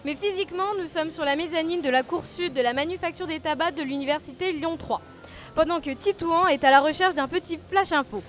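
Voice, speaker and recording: A woman's voice: high-pitched, fast, faint music behind. A young woman co-hosting student radio, chatty and giggly, searching for English words. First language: French. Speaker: radio co-host. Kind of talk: casual student radio chat.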